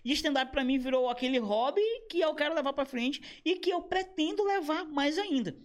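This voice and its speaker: A man in his thirties, a comedian and motion designer, chatting casually in a slangy, animated way with quoted asides. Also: extremely high pitch, normal speed. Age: 30s. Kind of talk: casual podcast conversation.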